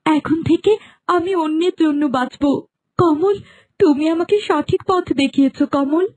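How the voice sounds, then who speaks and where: A woman as a high-pitched, plaintive young lover. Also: moderate pace, darkish tone, natural voice. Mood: resolved. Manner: plaintive, dramatic. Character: devoted, heartbroken young woman mourning her beloved. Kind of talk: melodramatic cartoon dialogue. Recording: faint music under the voice.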